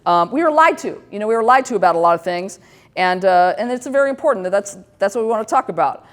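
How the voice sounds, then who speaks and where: A woman's voice: medium pitch, expressive, fast talker. A woman in her fifties hosting a talk show, talking candidly and joking at her own expense, with many fillers and restarts. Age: 50s. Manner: candid, self-deprecating, rambling with many fillers. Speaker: host. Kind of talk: casual talk show monologue.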